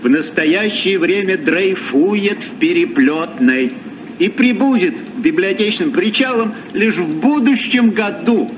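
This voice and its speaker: Courtly captain, swooping high voice, reverberant. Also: male voice, expressive, measured pace. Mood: politely dismissive. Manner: ornate, declamatory. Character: courtly, sceptical gentleman sea captain. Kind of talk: Soviet children's radio play acting. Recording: dull old radio, long reverb, faint hiss.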